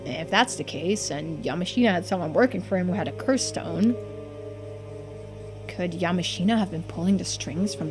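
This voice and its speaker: A woman reading video game dialogue aloud expressively as a streamer, playing out the characters. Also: medium pitch. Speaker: streamer voicing a game playthrough.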